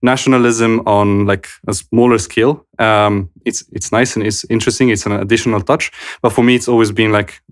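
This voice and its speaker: Young guy from switzerland, lowish voice, lots of ums and restarts, dry close sound. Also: fairly even intonation, no room sound. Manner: halting, many fillers and restarts. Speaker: guest. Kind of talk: casual conversational interview.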